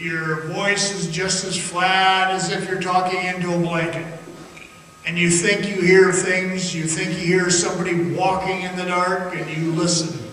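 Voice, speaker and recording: A man playing a frightened miner recounting a haunting underground ordeal as a halting, suspenseful radio theatre monologue. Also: sits high, pushed and bright. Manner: halting, suspenseful, dramatic storytelling. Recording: noisy, very echoey hall, distant mic.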